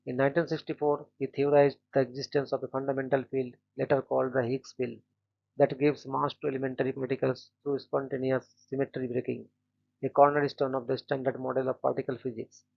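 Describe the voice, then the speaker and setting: A man hosting a daily channel, reading short scripted biographies in a flat, steady way and stumbling now and then on names. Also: medium pitch, brisk, vocal fry, highs cut off. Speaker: host of a daily events channel.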